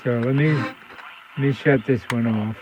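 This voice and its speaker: A man's voice: mid-range voice, unhurried, dull audio, loud music behind the voice. A man giving a casual, off-the-cuff demonstration at an indoor workbench as a radio repair hobbyist. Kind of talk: casual workbench demo narration. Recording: noisy, loud radio static hum. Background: radio static and interference noise.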